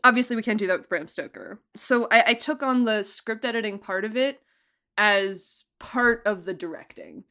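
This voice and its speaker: A woman's voice: mid-range voice, normal speed, pushed and bright. A woman chatting casually and effusively as a podcast guest, talking as a producer and director and often breaking into laughter. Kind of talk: casual behind-the-scenes interview chat.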